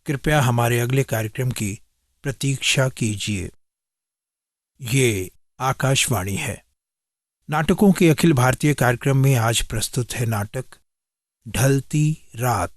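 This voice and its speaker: Formal male continuity announcer: slow scripted delivery, dry studio sound. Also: mid-range voice, no echo. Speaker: radio announcer.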